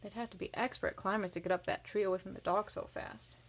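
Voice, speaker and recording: A woman's voice: medium pitch, quick pace. A woman reading a picture book aloud expressively to children, with casual explanatory asides. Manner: animated, varies voice for characters.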